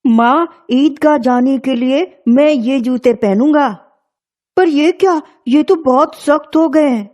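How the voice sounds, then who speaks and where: Eager young village boy, cartoon story, played by a woman: childlike, boastful, mellow tone, unhurried pace. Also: sits high.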